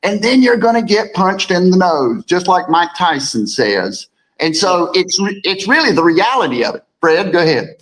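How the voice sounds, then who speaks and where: A man with a deep voice co-hosting a casual morning talk show, rambling and repeating words as he reads viewer comments. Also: very animated, normal speed, a bit bright, slight background noise, poor audio. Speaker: co-host.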